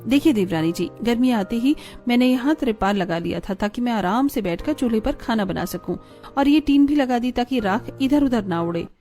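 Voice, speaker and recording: High-voiced thrifty young housewife acted by a woman. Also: expressive, moderate pace, dark tone, faint music behind, lightly acted. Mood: polite, practical. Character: thrifty, firm Indian housewife and dutiful daughter-in-law. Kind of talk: cartoon family drama acting.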